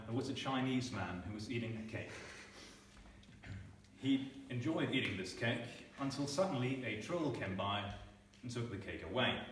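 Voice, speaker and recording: A man's voice: mid-range voice, a bit bright. A man improvising a short fairytale as a storyteller. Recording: very echoey room from far off, faint noise.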